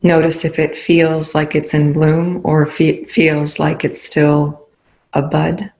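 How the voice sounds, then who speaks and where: A meditation guide leading a session in a soothing, unhurried manner with long pauses between phrases. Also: medium pitch, completely flat delivery, darkish tone. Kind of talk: guided meditation, spoken slowly.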